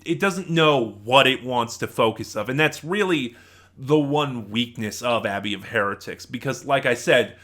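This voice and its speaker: Man, bright forceful voice, mid pitch, casual reviewer, conversational, rambling, self-interrupting. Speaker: reviewer, channel host. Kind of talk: casual spoken audio drama review.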